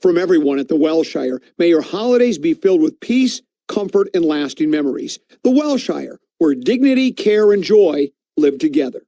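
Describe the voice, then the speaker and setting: A man's voice: a little high, sing-song, normal speed, mellow, dry recording. A man reading a scripted radio advertisement as its narrator. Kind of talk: scripted holiday-greeting radio ad.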